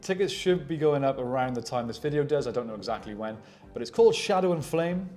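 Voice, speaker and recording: A man's voice: medium pitch, lively intonation, fast, a little mellow, faint music behind. A man chatting casually as co-host of a tabletop gaming show, with a self-deprecating manner. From Wales. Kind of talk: casual chat.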